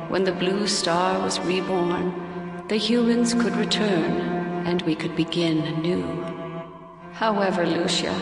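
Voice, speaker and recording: An actress playing a solemn, gently wise ghostly former goddess in a game cutscene, speaking in a measured way. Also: female voice, fairly low pitch, gravelly. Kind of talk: scripted game cutscene acting. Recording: long cavernous reverb, music behind.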